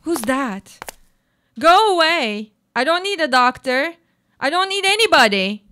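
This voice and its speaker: A woman's voice: high voice, big pitch swings, measured pace, bright, forceful tone, heavily acted. A woman acting in a live radio play as a weary, haunted woman, delivering a reflective, theatrical monologue. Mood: alarmed, defiant. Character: weary, haunted woman brooding on hope and existence.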